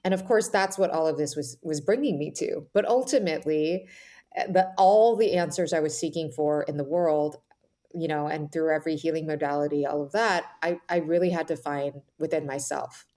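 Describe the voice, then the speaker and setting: A woman guest author talking candidly in a conversational interview, telling her story in an animated way with lots of "like" and "right?". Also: low-pitched, moderate pace. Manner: animated storyteller, acts out quoted thoughts, says "like" and "right?" often; candid, self-described intense.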